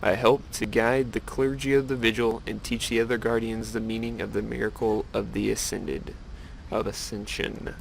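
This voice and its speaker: Gravelly man reading as a solemn elf priestess. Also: on the low side, little pitch movement, normal speed, a little hiss or hum, poor audio. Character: devout female elf priestess, solemn and sorrowful. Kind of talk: reading game quest dialogue aloud.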